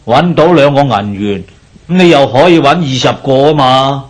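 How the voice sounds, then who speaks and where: Guy playing pushy older uncle in a cantonese radio drama, hong kong accent, lecturing and sighing, mid-pitched voice. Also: normal speed, close to an everyday voice. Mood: nagging, warning. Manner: lecturing, sighing, presses his point. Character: older male creditor, nagging and pushy.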